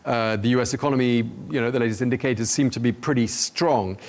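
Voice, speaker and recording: A male news anchor with a mid-pitched voice. He introduces stories and asks questions in a measured, conversational manner. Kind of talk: interview questions and story intros.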